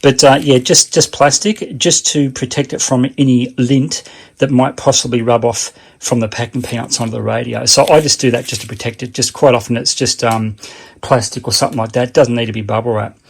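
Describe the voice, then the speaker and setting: Australian male, mid-pitched with a creaky edge, informal rambling demonstrator, plain-spoken and unscripted. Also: fairly even intonation, brisk. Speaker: online seller giving a how-to demonstration. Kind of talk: casual unscripted how-to talk.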